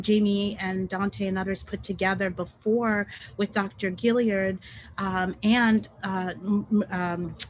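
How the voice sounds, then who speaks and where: A woman's voice: medium pitch, a little hiss or hum, rough recording. A woman whose first language is French, speaking as a guest on an online panel webinar in a conversational way with many ums and self-corrections, over a video call.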